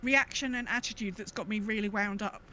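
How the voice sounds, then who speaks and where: A woman's voice: mid-range voice, brisk, pushed and bright, slight background noise. A woman podcast co-host chatting casually about gaming, griping with emphatic, exasperated rhetorical questions.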